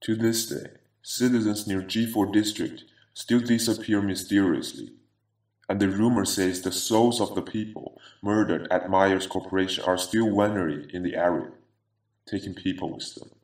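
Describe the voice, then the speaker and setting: A man voicing a vengeful half-machine former attorney in a grave, deliberate, ominous horror game monologue. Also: low voice, steady tone, a little mellow. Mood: grim, measured. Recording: echoey, distant-sounding voice.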